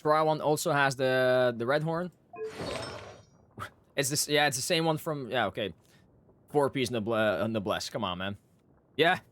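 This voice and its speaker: A man's voice: medium pitch, a little slow, pauses often, fairly bright tone, a little hiss or hum. A man livestreaming a game, chatting casually as he plays. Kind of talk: casual chat while playing. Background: music.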